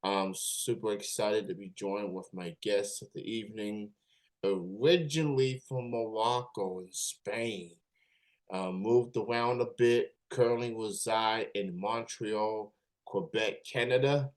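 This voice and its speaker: A man's voice: on the low side, a little slow. A man hosting an interview show, greeting his guest in an upbeat, casual way with plenty of filler words. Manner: upbeat, filler-heavy questions. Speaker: podcast host.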